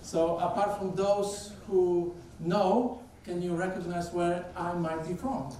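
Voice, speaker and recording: A man's voice: high-pitched, flat delivery, slow, warm tone, distant mic. A middle-aged man from Poland with a Polish accent, giving an informal sermon as a guest speaker and chatting with the congregation. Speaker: guest speaker, theology professor. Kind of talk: conversational sermon. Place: church sanctuary. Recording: echoey church hall, picked up from afar.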